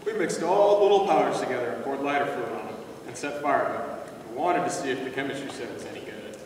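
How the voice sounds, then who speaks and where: A man's voice: mid-range voice, quick pace, natural voice. A man playing a brash troublemaker boy and narrating in a readers theater skit. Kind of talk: readers theater stage acting. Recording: noisy echoey hall, far from mic.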